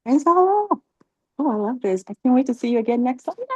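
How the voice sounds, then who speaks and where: A woman leading a religious class, asking catechism questions and encouraging her students, often pausing with ums. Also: high-pitched, big pitch swings, warm tone, breathy edge, no echo, highs cut off. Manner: encouraging, halting with many ums.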